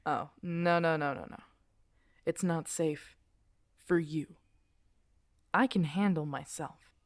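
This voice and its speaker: A woman as female lone werewolf, lowish voice, dry close sound, guarded, protective, halting, ASMR roleplay. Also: darkish tone, dry recording. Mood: reluctant, pleading. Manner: earnest, halting, weary sighs. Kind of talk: intimate ASMR audio roleplay acting.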